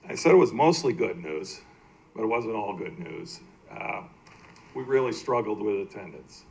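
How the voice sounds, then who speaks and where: Male, American, lowish voice, halting plain-spoken presenter at a public meeting, slight background noise. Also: lively intonation, vocal fry, a little mellow, poor audio. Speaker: baseball team executive presenting to a city council. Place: indoor council meeting room.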